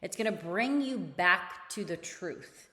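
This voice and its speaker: A woman hosting a solo motivational podcast, talking casually and repeating her key points, her voice slightly raspy. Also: on the low side, animated, takes it slowly, sharp tone, big-room sound. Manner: casual and conversational, repeats key points, laughs between phrases. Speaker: podcast host.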